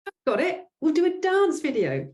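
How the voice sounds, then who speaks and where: Woman playing a very animated chirpy office assistant. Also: high voice, moderate pace. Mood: enthusiastic. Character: chirpy female office assistant full of ideas. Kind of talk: amateur comic audio drama.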